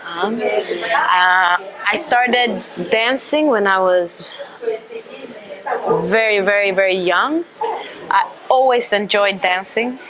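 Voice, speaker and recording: A young woman dancer raised in Sweden talks through her story in an earnest, reflective way, often ending a thought with a questioning "huh?". Her voice sits at an ordinary middle pitch for a woman. She is recorded close on a lapel mic while seated in a cafe. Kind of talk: reflective interview monologue. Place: cafe or restaurant interior.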